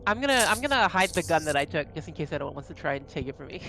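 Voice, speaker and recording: A man's voice: fairly high pitch, sing-song, rapid-fire, bright, forceful tone, slight background noise, poor audio. A man chatting casually and jokingly as a player in a tabletop roleplaying game. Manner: informal, joking. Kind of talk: casual in-character and table chat.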